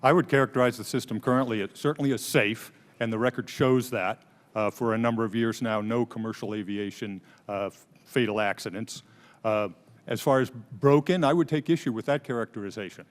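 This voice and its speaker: A man's voice: mid-range voice, a little fast. A man testifying formally as a government inspector, phrasing his answers carefully. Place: large indoor committee hearing room. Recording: reverberant hearing room.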